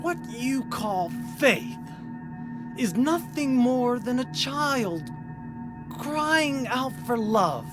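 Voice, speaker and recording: A man's voice: very high-pitched, animated, extremely slow, full character voice. A man playing a sly, mocking worldly schemer in a video game, taunting in a theatrical, smug way. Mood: cruel taunting. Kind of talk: video game cutscene acting. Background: loud music.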